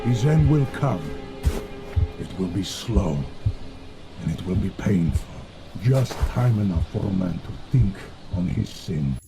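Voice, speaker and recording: An actor playing a menacing male villain in a video game, speaking in a measured, ominous, formal way. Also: sits low, expressive, creaky, over music, heavily acted. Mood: cold menace. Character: cold, menacing male villain, relishing slow death.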